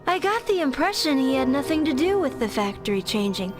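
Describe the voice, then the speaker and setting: An actress playing an earnest, quick-witted young woman in a video game, speaking informally. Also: high-pitched, sing-song, over music. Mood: worried, earnest. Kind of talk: video game cutscene acting.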